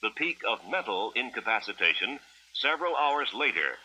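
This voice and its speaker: Sharp, husky American man narrating formally. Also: medium pitch, very animated, normal speed, poor audio. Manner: formal, clinical delivery. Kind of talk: scripted 1960s educational film narration. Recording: 1960s film soundtrack, rough radio-like sound.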